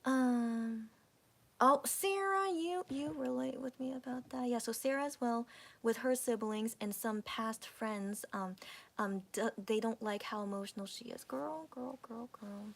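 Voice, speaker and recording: A woman's voice: fairly high pitch, a little slow, creaky. Woman, 30s, livestream host; casual rambling talk with restarts and whispered asides. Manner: rambling, many restarts and fillers, drops to whispers. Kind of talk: casual chat with viewers.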